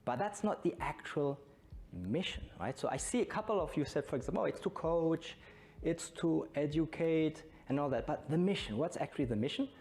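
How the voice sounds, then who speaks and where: A man's voice: on the high side, animated, fairly quick, a little mellow, a little hiss or hum. A middle-aged man with a German accent giving an informal conference talk, often putting questions to his listeners. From born in Belgium, studied in Germany, long in Brazil. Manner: conversational, engaging the audience with questions. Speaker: Scrum trainer and conference speaker.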